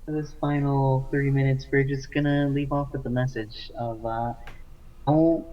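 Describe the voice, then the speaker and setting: A man's voice: mid-range voice, level tone, unhurried. A young man as a podcast guest, goofy and playfully preachy. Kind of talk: casual chat. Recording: distant dull mic.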